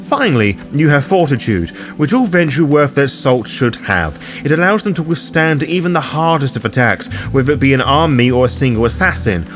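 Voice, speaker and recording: Male guide narrator, mid-pitched, formal and dramatic with a cheeky streak, background music underneath. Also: sing-song, a little fast, few pauses. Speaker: presenter of a roleplaying guide. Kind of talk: scripted narration, dramatic explainer.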